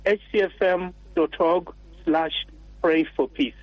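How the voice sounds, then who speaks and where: A man from Uganda, a priest, speaking earnestly and warmly as a radio interview guest, pausing and restarting often. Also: fairly high pitch, fairly even intonation, dry recording. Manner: earnest, warm and heartfelt, with pauses and restarts. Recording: dull, dry radio studio sound.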